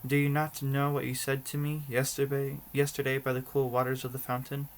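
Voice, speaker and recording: A man's voice: mid-range voice, flat delivery, brisk, natural voice. A man voicing an insistent, demanding frog in a storybook read-aloud. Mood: calling, insistent. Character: insistent young male frog, demanding and weary.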